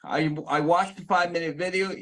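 A man of about forty from the Philippines gives an earnest, excited personal testimonial straight to a phone camera, often restarting his sentences. He is recorded close up in a small indoor room. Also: fairly high pitch, brisk. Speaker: network marketing member sharing his story. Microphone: phone camera at close range.

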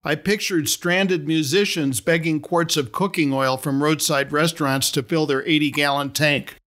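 A middle-aged man reading his own story aloud as a podcast host, in a measured, wry storytelling manner. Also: fairly high pitch, steady tone, fairly bright tone. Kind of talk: scripted storytelling narration.

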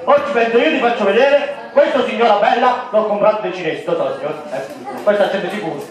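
A man performing a loud, clowning comic act for children on a holiday camp stage. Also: high voice, fairly quick. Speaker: entertainer. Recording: echoey, far from mic, with crowd noise.

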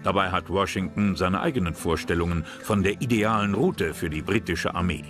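A man's voice: deep voice, a little fast. A man reading scripted narration for a history documentary. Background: background music.

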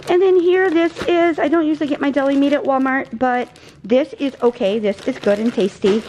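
A woman's voice: sits high, brisk, darkish tone, a little hiss or hum. A woman in her forties chatting casually and rambling as she shows her groceries in her home kitchen. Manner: chatty, rambling, easily sidetracked. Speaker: vlogger. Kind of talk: casual grocery haul chat.

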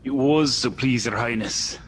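An actor playing a weary hired murderer in a classic radio drama. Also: male voice, mid-range voice, measured pace, a little hiss or hum, natural voice. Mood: grim.

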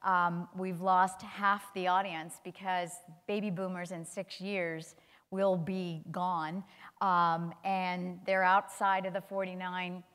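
Woman, 60s, conference panelist; lively delivery, slightly low pitch, reverberant hall. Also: slow pace, clear, resonant tone. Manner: animated, gestures while talking. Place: large conference hall stage. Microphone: lapel mic.

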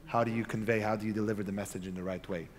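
A man's voice: lowish voice, steady tone, racing, a little hiss or hum. A man moderating a conference panel, earnest and emphatic with some repeated words, on a large hall stage with a handheld mic. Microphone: handheld microphone.

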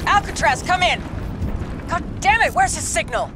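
A woman's voice: very high-pitched, sing-song, normal speed. An actress playing a tough, urgent female military field officer in a video game. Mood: alarmed, urgent. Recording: loud music and engine noise behind.

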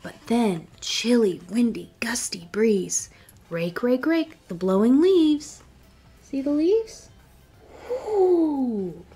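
Young lady doing kids storytime, high voice, really slow reading, encouraging, talks right to the kids. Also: sing-song, warm tone, breathy edge, faint music behind. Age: young adult. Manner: encouraging, addresses young listeners directly. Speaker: children's librarian reading storytime. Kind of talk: picture-book read-aloud for children. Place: indoor library room with bookshelves.

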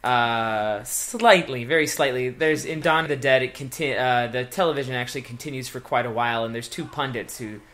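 A man's voice: medium pitch, a bit bright. Teasing, curious male podcast host. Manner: teasing, curious, quick follow-up questions. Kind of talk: casual podcast interview.

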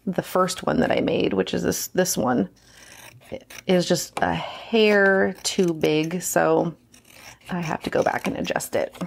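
A woman talks through a hands-on craft project in an informal, think-aloud way. Her voice sits a little low and has a clear creak to it. The delivery is chatty and unscripted, like a tutorial host working as she speaks.

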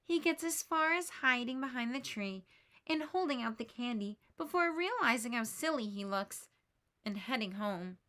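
High-voiced woman reading a picture book. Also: moderate pace. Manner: gentle, expressive. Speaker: teacher. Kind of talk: read-aloud storybook narration.

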